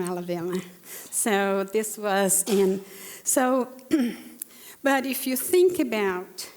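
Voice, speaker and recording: A woman in her sixties from Brazil with a Brazilian Portuguese accent, telling a personal story as a panelist, earnestly, with fillers and restarts. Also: medium pitch. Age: 60s. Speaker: panelist speaker. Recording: long hall reverb.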